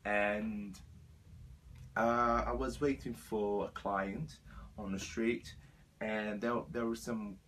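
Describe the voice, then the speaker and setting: A Brazilian man in his twenties, a native Portuguese speaker, talks to camera in earnest, halting English with a Brazilian Portuguese accent. His voice sits on the low side, and he pads his sentences with fillers and restarts. A faint hum of ambient noise runs underneath. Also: fairly even intonation, fairly bright tone, low-quality recording. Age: 20s. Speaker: vlogger. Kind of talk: personal storytelling to camera.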